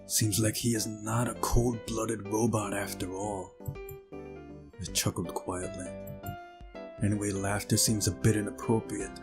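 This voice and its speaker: Low-voiced man narrating over music. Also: flat delivery, a little slow. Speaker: let's play narrator. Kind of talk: visual novel read-aloud narration with casual asides. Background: game music.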